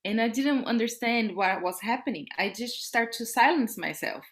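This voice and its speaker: A woman's voice: a little high, animated, a bit bright. A woman from Brazil with a Brazilian accent and Portuguese as her first language, speaking reflectively in an interview and often searching for words. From Brazil, born in Salvador and raised in São Paulo. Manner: halting, searching for words with repetitions. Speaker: dance artist being interviewed. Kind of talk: reflective conversational interview about own life.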